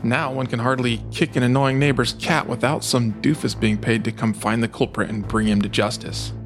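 A man plays an old demon bishop who collects souls, coolly menacing and grandiose. He speaks with scorn at a middling pitch in a storybook reading, over music. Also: fairly even intonation, moderate pace, lightly acted. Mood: scornful, brooding. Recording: music behind the voice.

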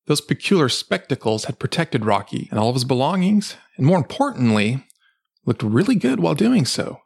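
A man's voice: medium pitch, sing-song, moderate pace, creaky, husky. A man reading a fantasy story aloud as dramatic scripted narration. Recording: music behind the voice. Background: dramatic background music.

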